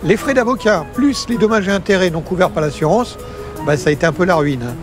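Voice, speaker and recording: A man playing a calm, slightly pompous colleague explaining things in an amateur audio drama. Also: high-pitched, brisk, over music, close to an everyday voice. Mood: wry. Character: wry, composed male colleague who opens the office.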